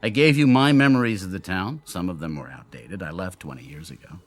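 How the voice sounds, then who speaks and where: Low-voiced brooding inventor, radio drama. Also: male voice, fairly quick. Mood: grave, frank. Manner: theatrical, explanatory, given to brooding monologue. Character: brilliant, lonely, self-doubting male inventor of an android double.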